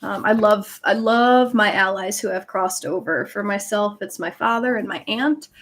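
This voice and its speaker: A woman's voice: medium pitch, normal speed, fairly bright tone. A woman hosting a live spiritual card reading, speaking conversationally and earnestly as she guides listeners and reads out messages. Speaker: live tarot reader and host. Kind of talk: casual livestream chat with card reading.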